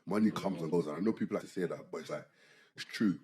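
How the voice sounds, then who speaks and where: A man, co-hosting a casual podcast chat, animated, often saying "bro" and "like" and restarting his sentences. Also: low voice, quick pace. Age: 20s. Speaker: podcast co-host.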